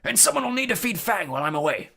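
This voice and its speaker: A man voicing a big, rough, loyal, hot-tempered gamekeeper who speaks bluntly and loudly in a storybook. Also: high voice, big pitch swings, measured pace, pitched above the performer's own voice, full character voice. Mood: careful, loud. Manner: blunt, loud, emphatic.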